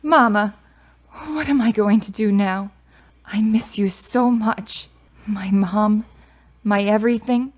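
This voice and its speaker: A woman's voice: medium pitch, slow, long pauses. A woman playing a candid, reflective, grieving daughter of about thirty in a radio drama.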